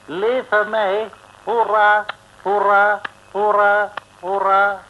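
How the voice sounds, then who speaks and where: An actor playing a pompous criminal mastermind, declaiming grandiose orders in an old radio serial. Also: male voice, high voice, level tone, extremely slow, dull audio. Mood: gloating. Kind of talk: early-1960s radio serial villain acting. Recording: hissy old gramophone-style radio recording.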